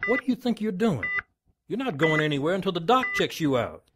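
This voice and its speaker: An actor playing a stern, exasperated police lieutenant questioning people in a noir audio drama. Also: male voice, on the high side, very animated, fairly quick, noisy background.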